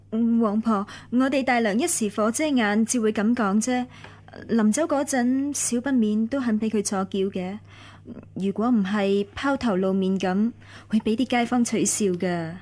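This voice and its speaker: A woman's voice: fairly high pitch, unhurried, a little mellow. An actress playing a tactful, placating woman of a household in a radio drama, soothing and diplomatic.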